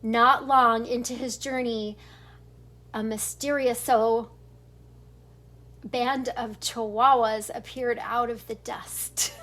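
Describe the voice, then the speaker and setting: An older woman reads a picture book aloud like a grandmother at storytime. Her voice is high and her pace very slow. She is informal and giggly, slipping in chatty asides. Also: fairly even intonation, fairly bright tone. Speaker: grandmother reading children's books aloud.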